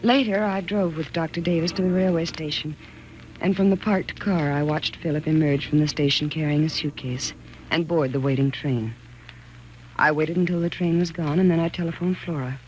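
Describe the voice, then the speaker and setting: An actress playing a frightened, distraught wife in a 1940s radio thriller, speaking in an earnest, halting, dramatic way. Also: female voice, low voice, steady tone, muffled recording, natural voice. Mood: tense, reflective. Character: frightened, distraught wife narrating her own ordeal. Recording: noisy vintage 1940s radio recording.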